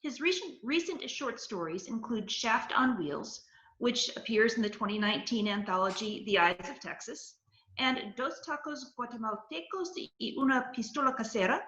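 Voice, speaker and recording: A woman's voice: fairly high pitch, animated, moderate pace, a bit bright. A woman co-hosting an online event, reading a scripted author introduction over a video call. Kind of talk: scripted introduction read aloud. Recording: muffled, roomy video call audio.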